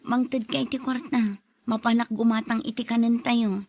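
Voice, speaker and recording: High-voiced weary Ilocano wife. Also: female voice, little pitch movement, normal speed, darkish tone. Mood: exasperated. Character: worried, weary, long-suffering wife and mother. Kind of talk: Ilocano radio drama acting.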